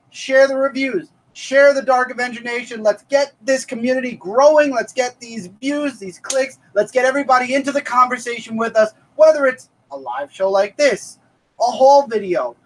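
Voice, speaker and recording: A man's voice: very high voice, low-quality recording. A man in his thirties hosting a casual comic review live stream, upbeat and thanking viewers by name. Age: 30s.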